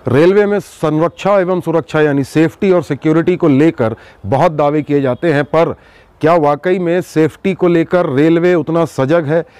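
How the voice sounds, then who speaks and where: Higher-voiced man presenting a news exposé. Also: a little mellow, smooth, no room sound. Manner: emphatic, with dramatic pauses and rhetorical questions. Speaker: host of an investigative news show. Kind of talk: news exposé monologue to viewers.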